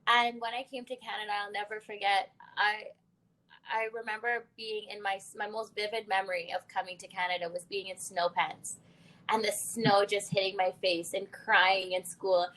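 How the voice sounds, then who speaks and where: A young adult woman hosting a podcast interview in a mid-pitched voice. She talks animated and reflective, and she laughs easily as she shares her thoughts. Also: moderate pace, rough recording. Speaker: podcast host. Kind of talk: conversational interview.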